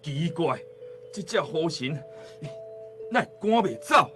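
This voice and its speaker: An actor playing a stern, pompous magistrate of old times who barks commands in a radio drama. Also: male voice, fairly high pitch, expressive, measured pace, bright, forceful tone, faint music behind. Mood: stern, commanding. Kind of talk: Taiwanese radio drama acting.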